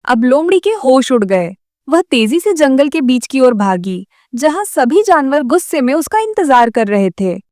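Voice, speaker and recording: A woman's voice: sits high, very animated, unhurried, darkish tone, dry recording, studio quality. A woman narrating a scripted children's cartoon story.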